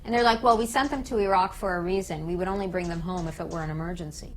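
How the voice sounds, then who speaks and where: A woman's voice: on the low side, quick pace, slight background noise. A woman with an American accent giving emphatic political commentary in an interview, often posing rhetorical questions. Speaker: interviewee, peace activist speaker.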